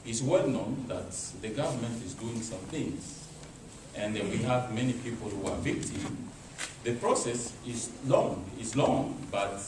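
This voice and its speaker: A man from DR Congo with a Congolese accent, making an impromptu formal comment in halting, non-native phrasing. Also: mid-range voice. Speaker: embassy representative commenting from the audience. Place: meeting room, speaking without a microphone. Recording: very echoey, distant, clearly noisy room.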